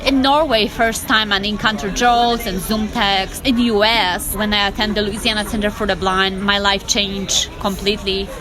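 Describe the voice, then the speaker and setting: A woman's voice: medium pitch, fairly even intonation, moderate pace, few pauses, music in the background, rough recording. A young woman from Poland with a Polish accent, telling her personal story as an interview guest in short, emphatic sentences. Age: young adult.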